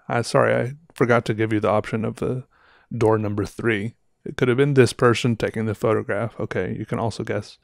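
Chatty man with a gravelly headset voice. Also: mid-range voice, no echo, studio quality. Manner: rambling, self-interrupting, chatty. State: sore throat. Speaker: artist reviewing viewer art. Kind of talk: casual livestream commentary. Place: small room indoors. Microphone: headset with boom mic.

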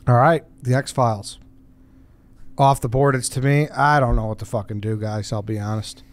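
Smooth-voiced man hosting a podcast. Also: medium pitch, little pitch movement, moderate pace. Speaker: podcast co-host. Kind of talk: casual podcast chat.

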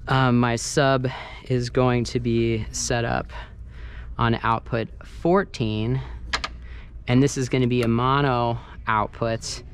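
Man, creaky voice, casual walkthrough, hands-on explaining, sound engineer, light background noise in a banquet hall. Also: mid-range voice, little pitch movement, slow. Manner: hands-on, informal explaining while working. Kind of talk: casual first-person tutorial walkthrough. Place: large carpeted banquet hall with wooden dance floor. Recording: noisy room ambience, clearly audible. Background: room noise and ambience.

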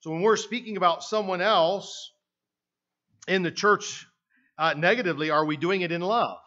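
An older Canadian man preaches in a church sanctuary, his voice sitting high for a man. He talks in a loose, conversational way, restarting phrases and checking in with "right?", often walking away from the podium mic. The recording is a little dull and lo-fi. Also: moderate pace, pushed and bright. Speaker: pastor. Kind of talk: sermon. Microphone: podium mic, speaker often walking away from it.

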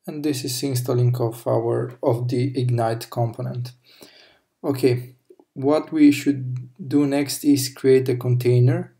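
A man talking through a coding tutorial over a screen recording, explaining step by step with pauses and self-corrections. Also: medium pitch, little pitch movement, warm tone. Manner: slow, halting explanation with fillers and self-corrections. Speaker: programming tutor.

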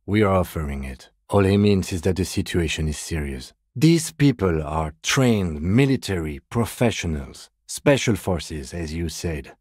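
An actor playing a calm, dutiful middle-aged police detective in a video game, with a measured, deadpan, politely formal delivery. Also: male voice, sits low, slightly rough, no echo, clean studio sound, exaggerated voice. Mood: measured, dry. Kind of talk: scripted game character dialogue.

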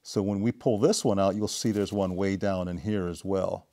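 Low, mellow man explaining. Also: steady tone. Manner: deliberate, step-by-step explaining. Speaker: DIY repair demonstrator. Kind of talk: casual hands-on tutorial narration. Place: indoor home workshop.

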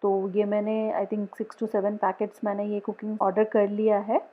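A woman in her thirties chatting casually at home about her monthly groceries, pausing often with fillers and slipping into English phrases. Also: medium pitch, husky, phone-quality sound. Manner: relaxed, mixes English phrases, many pauses and fillers. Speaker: vlogger. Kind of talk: casual grocery haul narration. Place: home, indoors.

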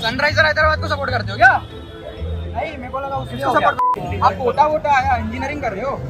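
Young man bantering loudly on a crowded street. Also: very high-pitched, fast talker. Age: young adult. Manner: loud, teasing, playful. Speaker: vlogger interviewing fans. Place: outdoors on a busy street by a stadium. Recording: loud crowd and music behind.

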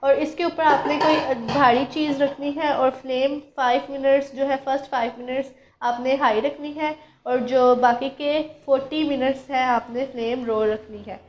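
A woman's voice: sits high, steady tone, moderate pace, darkish tone. A woman from Pakistan, patiently explaining a recipe step by step as a cooking channel host. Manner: patient, instructive, addresses viewers directly.

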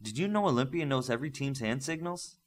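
A proud, eager superhero team coach, read by a male narrator in an audiobook. He talks in a chatty, emphatic way with lively swings in pitch. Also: fast, natural voice. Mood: collegial.

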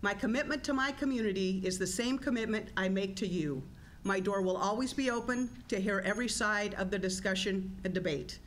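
A woman in her sixties gives a steady, formal campaign statement at a candidate forum. She speaks into a table mic with mid-range pitch. A faint hiss runs underneath. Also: a little fast. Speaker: political candidate.

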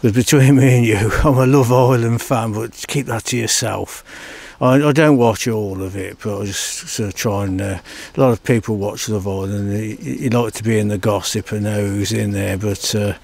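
A man's voice: lowish voice, fairly even intonation, moderate pace, vocal fry, few pauses, darkish tone. An older English man chatting casually as he rides along a canal towpath, filming for his own vlog. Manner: chatty, keeps saying folks and follow me. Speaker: vlogger. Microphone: cabled mic while riding.

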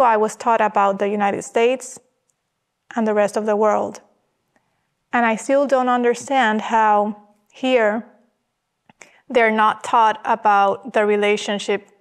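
A woman's voice: medium pitch, fairly even intonation, a little slow, long pauses, clear, resonant tone. A woman from Puerto Rico, a podcast guest, speaking in a firm, emphatic way on a studio set. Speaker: podcast guest, gallerist and artist. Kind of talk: earnest conversation.

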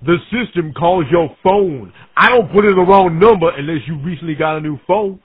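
A man's voice: a little high, normal speed, breathy edge, dry recording. A man playing a pushy, scolding small-claims court official in a streetwise, informal, confrontational prank call. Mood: officious. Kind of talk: pre-recorded prank call acting. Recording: tinny phone line.

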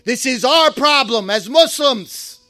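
Male imam, very high bright voice, fervent sermon delivery with shouted peaks, dry close sound. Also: animated, slow, no echo. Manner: impassioned preaching, rising to shouts, quotes Arabic verses. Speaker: imam giving a Friday sermon. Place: mosque.

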